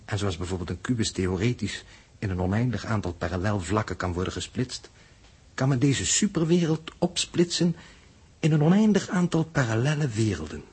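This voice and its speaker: A learned spaceship mathematician with a strongly husky, fairly low voice. He is coolly fatalistic and lectures in precise, formal language, in the manner of a 1960s radio play. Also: big pitch swings, normal speed, a little mellow, full character voice. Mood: enthusiastic lecturing. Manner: pedantic, lecturing, formal wording. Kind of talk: 1960s Dutch radio drama acting.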